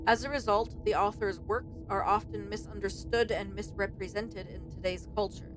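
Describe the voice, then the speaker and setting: A woman's voice: medium pitch, fairly even intonation, moderate pace, over music. A woman streamer doing let's play commentary and reading game text aloud.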